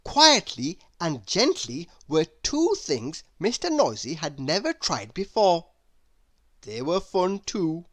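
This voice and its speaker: Animated, slow-paced woman reading a storybook. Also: lowish voice. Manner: deliberate, dramatic pauses. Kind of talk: expressive children's read-aloud narration.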